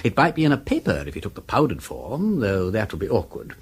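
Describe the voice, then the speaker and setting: An actor playing a breezy, gallant English aristocrat detective with an upper-class accent, foppish, chatty and self-deprecating, in British radio drama style. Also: male voice, fairly low pitch, huge pitch swings, brisk, slightly rough, full character voice. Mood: brisk, determined. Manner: foppish, chatty, hesitant politeness.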